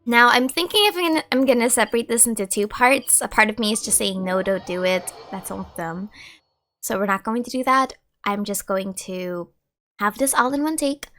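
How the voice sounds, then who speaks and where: A woman's voice: fairly high pitch, moderate pace, fairly bright tone. A woman doing casual let's play commentary, chatty and rambling, often correcting herself. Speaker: gaming streamer. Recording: music playing behind.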